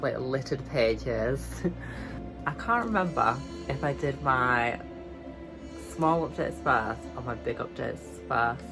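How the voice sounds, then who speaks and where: A young woman from the UK with a light, high, girly voice, talking casually in a vlog, chatty and self-mocking, stretching words for emphasis. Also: low-quality recording. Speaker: vlogger.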